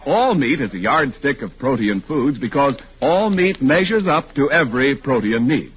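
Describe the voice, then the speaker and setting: Animated American male radio announcer. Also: mid-range voice, normal speed, loud music behind the voice. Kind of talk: scripted 1940s radio narration. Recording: muffled, hissy old radio recording.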